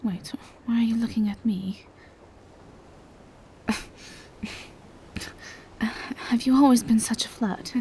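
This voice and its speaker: Gentle vampire woman, performed by an actress, intimate roleplay audio, breathy and hesitant, unhurried delivery, faint noise behind. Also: fairly high pitch. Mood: tender, teasing. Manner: soft, hesitant, breathy half-whispers. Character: gentle, bashful female vampire in monstrous true form, lovestruck.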